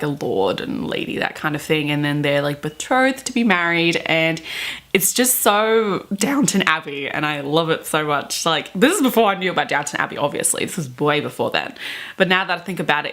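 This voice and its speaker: A young woman with a slightly low voice speaks in a chatty, theatrical vlog style. She leans on words with drawn-out, gushing emphasis, recorded close to the camera in a bedroom, with some music. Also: fairly bright tone. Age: 20s. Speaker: book vlogger. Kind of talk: casual chatty vlog with dramatic reading aloud. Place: small bedroom, indoors.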